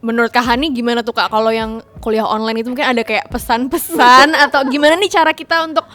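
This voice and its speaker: A woman's voice: on the high side, steady tone, fast, bright, forceful tone. A young woman with an urban Jakarta accent hosting a casual interview in a chatty, informal way, mixing in English words. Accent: urban Jakarta Indonesian. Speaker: host.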